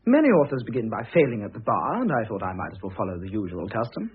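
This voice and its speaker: An actor playing a cheeky, dry-witted young student son in an old-fashioned radio drama, flippant and teasing. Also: male voice, lowish voice, big pitch swings, fast talker, slightly rough, full character voice. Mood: wry, pointed. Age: young adult. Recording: muffled lo-fi old radio play.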